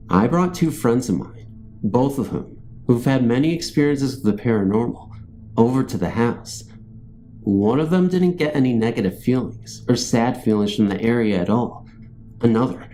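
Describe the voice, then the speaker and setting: A man's voice: mid-range voice, warm tone, low-quality recording. A man reading a scripted first-person ghost story as a narrator. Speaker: narrator of true ghost stories.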